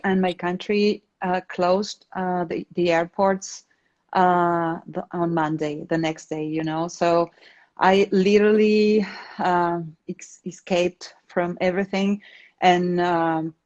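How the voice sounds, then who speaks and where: A woman's voice: on the low side, a little mellow. A woman with an Argentine accent and Spanish as her first language, speaking casually as an interview guest and often searching for words. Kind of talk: casual interview talk, explaining own work. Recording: slightly dull, lo-fi online call.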